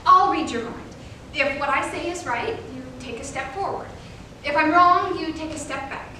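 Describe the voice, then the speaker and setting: A woman playing a gentle, patient male child psychologist in staged reader's theater, measured and coaxing. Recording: echoey room, distant mic, faint rumble.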